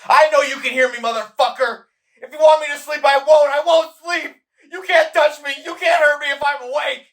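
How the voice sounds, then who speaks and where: A man's voice: very high voice, fairly even intonation, normal speed, fairly bright tone. A man playing a hot-tempered, hard-drinking young man with a coarse, confrontational manner in an audio drama. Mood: furious, defiant. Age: young adult.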